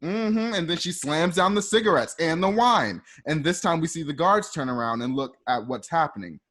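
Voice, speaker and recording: A man's voice: on the high side, lively intonation, normal speed, fairly bright tone. A man narrating scenes of a radio drama informally, like a storyteller reading stage directions in a conversational way.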